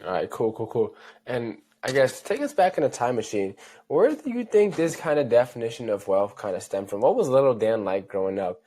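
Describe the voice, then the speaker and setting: A man's voice: medium pitch, big pitch swings, a little fast, mellow. Chatty male podcast host. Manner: loose and chatty, heavy on fillers like "kinda" and "you know". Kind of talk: casual interview.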